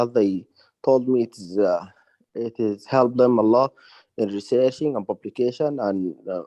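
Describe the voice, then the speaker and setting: A man joining a research fellowship, thanking the mentor in halting, grateful phrases on a video call. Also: mid-range voice, mellow, dry recording, muffled recording. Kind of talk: self-introduction on a video call.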